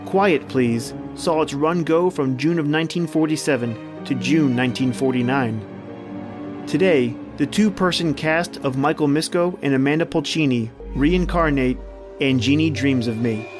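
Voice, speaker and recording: A man's voice: fairly high pitch, unhurried. Male horror podcast host over music. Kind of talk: scripted spooky show introduction. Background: music under the voice.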